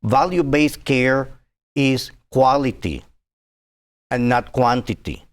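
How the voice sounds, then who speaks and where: A man in his sixties from Cuba, speaking as a physician guest in a TV interview, earnest and halting with many fillers. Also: mid-range voice.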